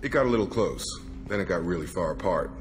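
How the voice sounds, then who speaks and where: A man's voice: deep voice, lively intonation, quick pace, background noise. An actor playing a blunt, guarded male soldier in a video game, plain-spoken and loyal. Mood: guarded, frank.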